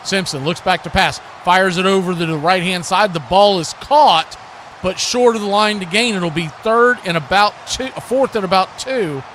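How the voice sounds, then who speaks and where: An American man calling live football play-by-play in excitable, clipped phrases. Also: high voice, moderate pace, slight background noise. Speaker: play-by-play announcer.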